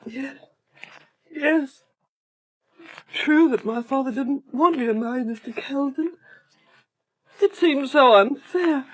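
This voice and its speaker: An actress playing a fragile, grieving, trusting daughter with halting, plaintive 1930s radio delivery. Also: female voice, sits high, low-quality recording. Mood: grieving, defensive. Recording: vintage 1930s radio broadcast.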